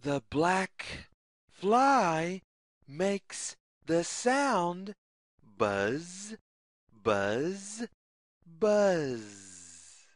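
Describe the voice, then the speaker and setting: A woman reads a children's picture book at an extremely slow, deliberate pace. Her slightly low voice rises and falls widely in a sing-song pattern, with each short phrase set apart. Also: big pitch swings, dry recording, studio quality. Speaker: children's story reader. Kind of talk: read-aloud picture book narration for young children.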